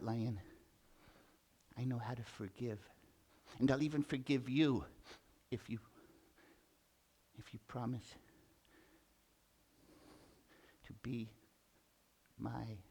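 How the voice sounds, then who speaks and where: A tiny, timid male mouse from a children's storybook, as a male storyteller puts him on. He stammers and stumbles over his words, yet his heart is kind. His pitch jumps around a lot, and he often talks slowly. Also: big pitch swings, bright, forceful tone, breathy edge, exaggerated voice. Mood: earnest. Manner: nervous stammering, eager.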